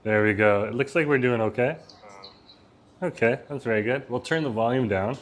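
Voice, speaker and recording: A man casually chatting on a live stream from a Vietnamese restaurant, talking easily, reading chat messages aloud and laughing often. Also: moderate pace. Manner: says he has a loud voice and lowers it. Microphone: phone.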